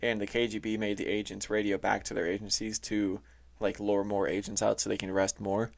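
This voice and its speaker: A man explaining things casually as a podcast host, halting and informal, often saying "like". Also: fairly low pitch, level tone, vocal fry. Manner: informal, halting, heavy use of "like". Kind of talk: casual explainer talk reading from notes. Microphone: close, touchy microphone.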